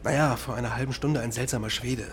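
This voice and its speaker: A male actor plays an undercover officer working a hotel desk in a crime radio drama. His medium-pitched voice is polite with a wry edge, against clear background noise. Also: steady tone, fast talker, close to an everyday voice. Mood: wry, reassuring. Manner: polite, wry, matter-of-fact. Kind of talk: naturalistic German crime radio drama acting.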